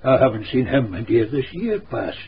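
An actor playing a shrewd, wry Scottish villager telling a gossipy tale in a radio drama. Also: male voice, medium pitch, big pitch swings, normal speed, close to an everyday voice. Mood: reassuring. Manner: storytelling, folksy. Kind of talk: radio drama narration and dialogue. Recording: dull, noisy old radio drama recording.

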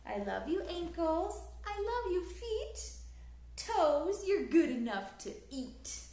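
A woman in her thirties reading a picture book aloud to young children in an expressive, sing-song way, recorded in a living room. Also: extremely high pitch, slow, roomy sound. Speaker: children's story time host. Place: small living room, indoors on a couch.

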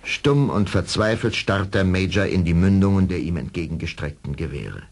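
A man's voice: sits low, expressive, moderate pace, slight background noise. A man narrating an adventure radio play in a dramatic, scripted storytelling style.

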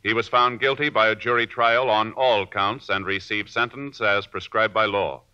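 An American man announcing in a formal, measured 1950s radio style from a script. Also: fairly low pitch, steady tone, sharp tone, clear, resonant tone. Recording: dull vintage 1950s radio recording.

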